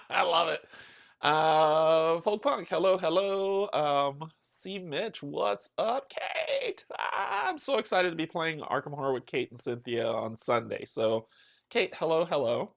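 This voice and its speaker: Chatty streamer guy with gravelly voice. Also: a little high, expressive, slow pace, fairly bright tone. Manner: chatty, upbeat, self-deprecating. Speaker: streamer and host. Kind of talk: casual livestream chat, greeting viewers.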